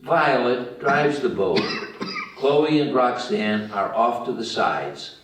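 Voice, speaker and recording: A man's voice: medium pitch, lively intonation, takes it slowly, noisy background. A man reading scripted screenplay stage directions as the narrator at a table read. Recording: very echoey room, distant mic.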